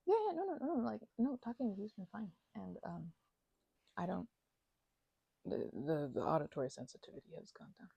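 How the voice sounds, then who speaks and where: Young woman housemate played by an actress, ASMR roleplay: chatty, bashful, halting rambler, very animated pitch, mellow tone, dry recording. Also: creaky, husky, dry recording, lo-fi sound, full character voice. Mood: flustered, playful, then sheepish. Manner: rambling, halting, stammering, giggly asides. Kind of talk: ASMR roleplay, casual one-sided conversation.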